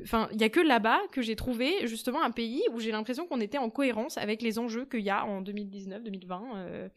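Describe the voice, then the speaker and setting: Young female guest, fairly high voice, chatty, searching for words. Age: 20s. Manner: animated, frequent fillers, trailing off into quiet. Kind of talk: conversational storytelling.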